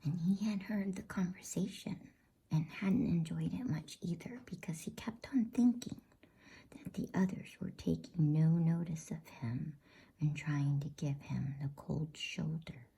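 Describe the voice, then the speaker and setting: Slow, whispering woman reading bedtime stories. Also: low-pitched, low-quality recording. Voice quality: breathy, soft whisper. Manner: gentle, unhurried, hushed. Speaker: host and reader of a bedtime story channel.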